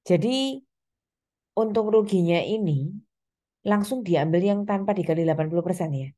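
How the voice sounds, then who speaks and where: A woman lectures to students in a slightly low, very mellow voice. She explains things step by step, often pausing on reminders and small check-ins to keep listeners following. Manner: instructional, step-by-step, with frequent reminders and check-in tags. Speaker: accounting lecturer.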